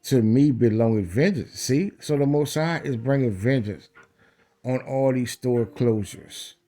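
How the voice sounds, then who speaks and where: A man's voice: mid-range voice, a little slow, warm tone. A man preaching in a scripture read-along, emphatic, repeating phrases and checking in with rhetorical questions.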